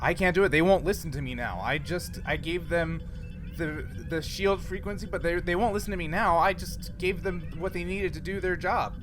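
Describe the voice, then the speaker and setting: A man's voice: moderate pace, over music, natural voice. A man voicing a panicking, pleading traitor doctor in a tabletop space game, stammering desperately on a noisy recording. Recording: noisy group recording, constant ambient rumble.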